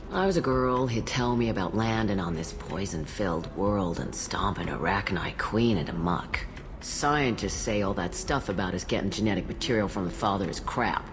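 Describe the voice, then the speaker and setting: An actress playing a blunt, world-weary old alien matriarch bartender in a video game, with dry, sardonic delivery. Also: female voice, rumbling low. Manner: dry, sardonic, matter-of-fact. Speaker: bartender character. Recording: loud music behind the voice.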